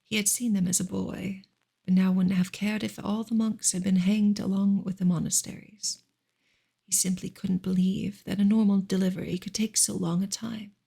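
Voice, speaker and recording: A woman reading a novel aloud as soft ASMR, breathy and partly whispered, in a gentle, measured storytelling manner. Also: medium pitch, level tone. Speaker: ASMR reader and channel host.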